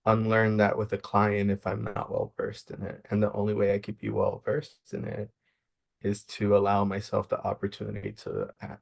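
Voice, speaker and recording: Young male social worker, flat even delivery, earnest and measured, interview guest. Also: on the low side, creaky. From Maryland, United States. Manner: earnest, measured, thinks aloud. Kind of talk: reflective interview answers.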